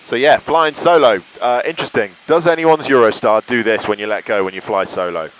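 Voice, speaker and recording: A man's voice: mid-range voice, brisk, rough recording. A British man chatting casually to viewers while flying, with an enthusiastic, reflective way of talking. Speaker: pilot vlogger. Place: cockpit of a small light aircraft in flight. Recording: clear engine noise in a cockpit.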